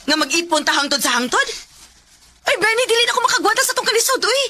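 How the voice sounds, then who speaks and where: An actress with a Cebuano Filipino accent playing a bitter, hard-hearted young wife who nags and scolds in a radio drama. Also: female voice, very high voice, big pitch swings, fast talker, pushed and bright, exaggerated voice. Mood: cold, resolute. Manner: scornful, nagging, scolding.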